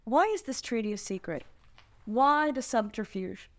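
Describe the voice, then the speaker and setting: Actress as a poised, high-voiced fantasy princess. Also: female voice, animated, moderate pace. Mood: guarded, questioning. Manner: formal, composed, diplomatic. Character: calm, stoic, shrewd young princess bargaining with captors. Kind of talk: live table read of a fantasy screenplay.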